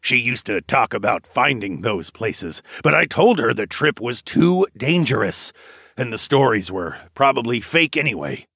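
Man voicing a gruff, gravelly, wary old grandfather. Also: medium pitch, big pitch swings, unhurried, sharp tone, no room sound, clean studio sound, heavily acted. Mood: tired. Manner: huffing, suspicious, curt. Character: gruff older grandfather, armed, wary and irritable. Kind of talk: audiobook character dialogue.

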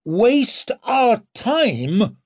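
Man acting a proud, hot-headed young nobleman, pitched up. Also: very animated, drawn-out words, a little mellow, no room sound, clean studio sound, exaggerated voice. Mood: indignant, shouting. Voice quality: broken, strained when raised. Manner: blunt, hot-headed, declamatory. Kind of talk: audiobook character acting.

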